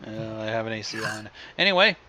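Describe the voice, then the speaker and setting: A man's voice: lowish voice, animated, fairly quick, crowd noise, poor audio. A man chatting casually as co-commentator on a story reading, dropping offhand, wry asides. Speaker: co-commentator on fan fiction reading. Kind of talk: casual reaction chat.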